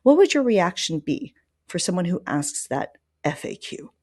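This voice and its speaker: A woman's voice: on the low side, sing-song, normal speed, mellow, husky, no echo, studio quality. A woman hosting a conversational podcast interview in an informal, emphatic way. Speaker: podcast host. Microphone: microphone plugged into a laptop.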